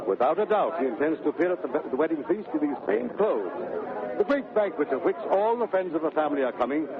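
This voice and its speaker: A man's voice: a little high, very animated, quick pace. A man narrating a radio drama in a dramatic, scripted 1930s storytelling style. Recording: tinny 1930s radio, music and crowd behind.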